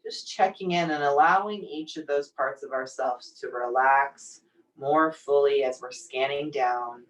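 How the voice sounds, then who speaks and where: Woman doing guided meditation, really slow and soothing, gently encouraging, sounds a bit far from the mic. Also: a bass voice. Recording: distant microphone pickup.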